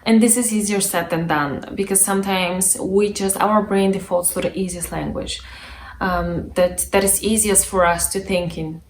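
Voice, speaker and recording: A woman's voice: medium pitch, steady tone, moderate pace. A young adult woman, a native Bulgarian speaker, giving an articulate instructional talk to camera as a language coach. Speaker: language coach and video presenter. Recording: echoey room with faint background noise.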